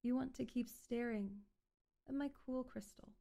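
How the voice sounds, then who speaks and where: Woman, very mellow and soft-edged tone, creaky, ASMR hypnotist doctor, soothing, coaxing, repetitive. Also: a little high, fairly even intonation, moderate pace. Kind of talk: ASMR hypnosis roleplay, guided relaxation script.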